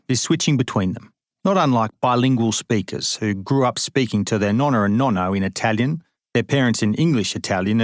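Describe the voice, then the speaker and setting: A man reading a first-person memoir aloud as an audiobook, in an even, measured way with dry humour. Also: sits low, no echo. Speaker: audiobook reader.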